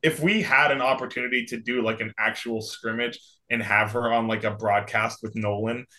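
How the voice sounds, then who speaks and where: A young man co-hosting a podcast, chatting casually and acting out quotes in an animated way. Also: mid-range voice, normal speed. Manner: animated storyteller, acts out quotes. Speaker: podcast co-host. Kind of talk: casual chat.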